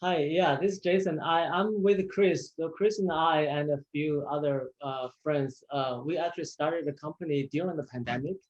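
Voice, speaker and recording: A man's voice: on the high side, normal speed, hardly any pauses. Man on muffled call audio. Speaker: IT entrepreneur. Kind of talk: casual self-introduction. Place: online group video call.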